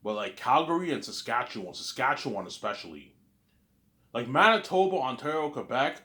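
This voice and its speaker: A man's voice: mid-range voice, sing-song, a little fast, sharp tone. A man from Canada with a mild Canadian accent, talking in a rambling casual monologue that often repeats and restarts words.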